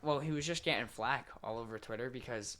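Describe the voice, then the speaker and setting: A man's voice: mid-range voice, fairly quick, bright, forceful tone. Casual sweary man explaining his opinions. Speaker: podcast co-host. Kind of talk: casual opinionated podcast banter.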